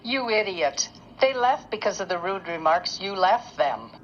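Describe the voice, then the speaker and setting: A woman's voice: mid-range voice, lively intonation, unhurried, over music, natural voice. Woman voicing a scolding old railway coach, muffled. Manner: scolding, prim. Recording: dull, slightly noisy lo-fi microphone.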